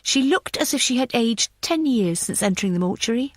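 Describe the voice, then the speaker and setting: A woman's voice: fairly high pitch, lively intonation, brisk, dry recording. A woman reading scripted narration for a thriller audiobook.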